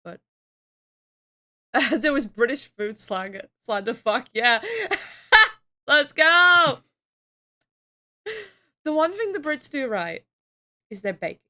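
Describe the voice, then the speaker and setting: Young woman streamer from the UK, casual, bright and slightly high, laughs a lot and swears freely. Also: lively intonation, slow, long pauses. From United Kingdom. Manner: laughs often, swears, reads chat aloud.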